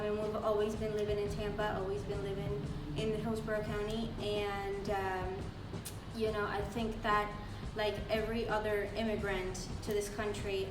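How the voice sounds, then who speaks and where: A woman in her late twenties from Colombia, answering interview questions earnestly and thoughtfully, with frequent pauses and fillers. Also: medium pitch, recorded from a distance. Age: late 20s. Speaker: interview guest, party secretary. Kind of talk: conversational interview. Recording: roomy, off-mic, noisy.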